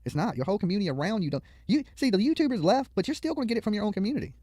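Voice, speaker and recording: High-pitched, fast-talking man livestreaming. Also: big pitch swings, warm tone, no echo, studio quality. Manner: colloquial, blunt, swearing, opinionated. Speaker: YouTube livestream host. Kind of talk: casual livestream commentary. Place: studio.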